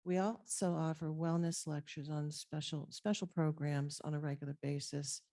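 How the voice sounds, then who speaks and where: A soft-spoken woman walks a council committee through her department's services. Her voice sits low and mellow, and the room sound is dry and close. She talks steadily, with plenty of ums and small restarts. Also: steady tone, moderate pace, dry recording. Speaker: council on aging director presenting budget. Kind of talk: public meeting presentation. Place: city council chamber. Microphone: table microphone.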